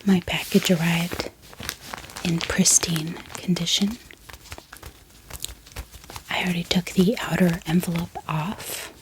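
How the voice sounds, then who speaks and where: A woman hosting an ASMR channel, speaking in a gentle, hushed whisper with pauses. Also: fairly low pitch, steady tone, noisy background. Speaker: ASMR book channel host. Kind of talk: soft-spoken whispered ASMR.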